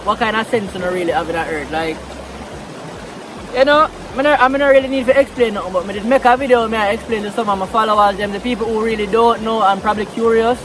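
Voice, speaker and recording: Relaxed Jamaican vlogger, a man with a high voice and a Patois accent, filmed outdoors by a river with noisy water behind. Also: fast. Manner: relaxed, chatty, mixing Patois into English. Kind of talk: casual vlog talk outdoors.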